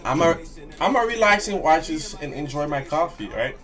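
A man in his twenties giving casual, excitable reaction commentary with slangy, playful exclamations. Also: fairly high pitch, normal speed. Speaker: reaction video host. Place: small bedroom indoors. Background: hip hop music playing from the watched video.

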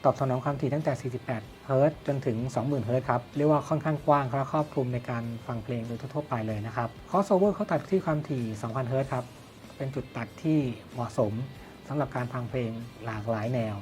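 A man's voice: mid-range voice, fast talker, a little mellow. Man presenting over music. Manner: explanatory, poses questions then answers them. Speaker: product reviewer and presenter. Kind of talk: spoken audio product review and demonstration. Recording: music playing behind the voice. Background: background music.

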